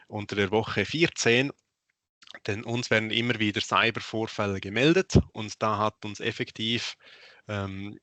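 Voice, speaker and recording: A man's voice: on the low side, sharp tone, no echo. A man working as a technical analyst gives a matter-of-fact German presentation, with many filler "uhs". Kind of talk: presentation in an online event.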